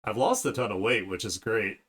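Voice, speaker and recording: A man with a fairly low voice hosts a casual art stream. He rambles conversationally to his viewers, talking as he works. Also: brisk, fairly bright tone. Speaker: art streamer hosting a livestream. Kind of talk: casual livestream chat while drawing.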